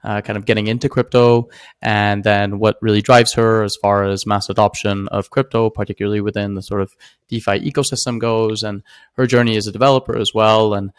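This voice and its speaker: A man's voice: lowish voice, smooth, no echo, clean studio sound. A man hosting a podcast, introducing his guest in a loose, hesitant way with many "uh"s and "sort of"s. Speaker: podcast host.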